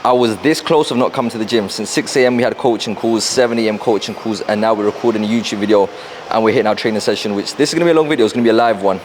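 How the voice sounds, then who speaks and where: A young man with a London accent, talking fast and rambling to camera in a casual motivational vlog, wearing a clip-on mic in a gym. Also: on the low side, slight background noise. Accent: London English. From South London, England. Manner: fast, rambling, repeats himself. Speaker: fitness and mindset coach. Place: busy gym.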